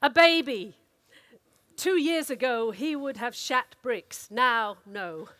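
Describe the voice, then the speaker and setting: A woman performing a dramatic, expressive reading of a comic story as an actor. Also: on the high side, takes it slowly, no room sound. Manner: theatrical, expressive delivery.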